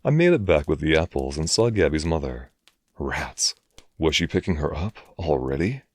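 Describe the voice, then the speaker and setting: A man's voice: rumbling low, expressive, moderate pace, slightly rough, no echo. A man narrating a scripted first-person horror story.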